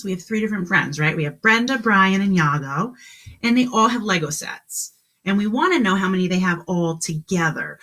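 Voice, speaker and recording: A woman's voice: medium pitch, fairly bright tone, breathy edge. A woman teaching kindergarten on a live stream, speaking encouragingly to children in simple questions and instructions.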